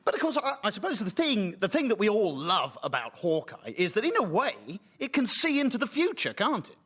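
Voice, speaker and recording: A man's voice: high voice, wildly swooping pitch, a little fast, fairly bright tone, husky, heavily acted. A man playing a fumbling, pushy male sports reporter in a comedy radio sketch. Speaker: spoof reporter.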